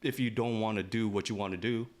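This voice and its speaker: A man's voice: fairly low pitch, steady tone, fast, a little mellow. A man co-hosting a podcast in casual chat, slangy, informal and given to banter. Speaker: podcast co-host.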